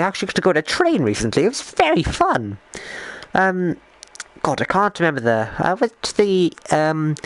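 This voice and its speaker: Man putting on a rambling 1920s English gentleman. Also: a little high, dry recording, exaggerated voice. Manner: old-fashioned posh phrasing, rambling, hesitant, repeats himself. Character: genteel bumbling 1920s English gentleman, prim and easily scandalised. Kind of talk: in-character live stream chat while gaming.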